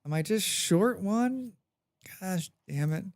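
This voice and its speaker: A man with a head cold talks through a survival game on a live stream, his pitch bouncing up and down as he goes. He hums little tunes under his breath and chats back to his viewers in a loose, casual way. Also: animated, slow, a little mellow, clear, resonant tone. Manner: chatty, hums to himself, answers chat.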